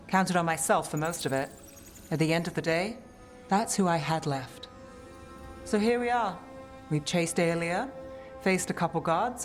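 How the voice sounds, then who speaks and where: An actress playing a guarded, world-weary detective in a fantasy video game, speaking in a wry, clipped, restrained way. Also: female voice, lowish voice, close to an everyday voice. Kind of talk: video game cutscene acting.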